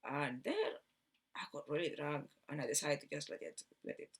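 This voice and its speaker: A woman from Barcelona with a Spanish accent tells a rambling personal story in a halting way, repeating words and trailing off. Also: deep voice, lively intonation, breathy edge. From Barcelona, Spain. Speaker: video host telling personal story.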